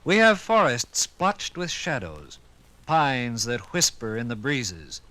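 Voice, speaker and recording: An American man narrating a scripted 1950s radio documentary with formal, measured, dramatic phrasing. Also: mid-range voice, wildly swooping pitch, pushed and bright, poor audio.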